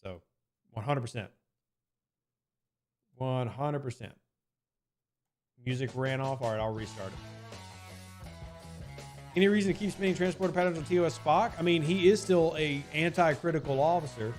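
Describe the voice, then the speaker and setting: A man's voice: a little high, normal speed, pauses often, darkish tone, soft background music. Casual male game streamer. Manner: conversational, hedging with "I mean", repeats points. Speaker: streamer answering chat questions. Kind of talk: casual live-stream chat.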